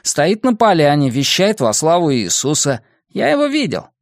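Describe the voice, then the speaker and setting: A young boy in an audiobook story, acted out by a male narrator. He is practical and blunt, with an emphatic delivery pitched up from normal talk. His lines move around a lot in a dry space. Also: huge pitch swings, normal speed, no echo. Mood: matter-of-fact.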